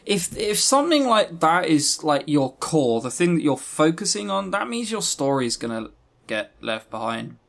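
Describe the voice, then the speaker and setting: A man's voice: fairly high pitch, very animated, moderate pace, creaky. A man co-hosting a podcast, giving blunt, opinionated, sweary reviews in a casual chatty way. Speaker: podcast co-host.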